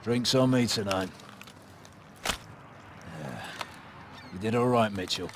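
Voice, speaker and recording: An actor playing a tough, blunt special-operations squad leader, brusque and clipped. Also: male voice, on the low side, expressive, slow pace, noisy background. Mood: gruff, dismissive. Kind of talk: military game dialogue. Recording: music behind.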